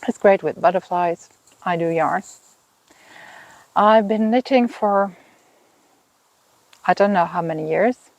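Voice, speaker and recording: A woman in her fifties from Finland, a native Swedish speaker with a Finland-Swedish accent, hosting a knitting podcast in a casual, thoughtful way and pausing often to find her words. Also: fairly low pitch, dry recording, poor audio. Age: 50s. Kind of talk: casual chat to camera. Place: outdoors in a home garden.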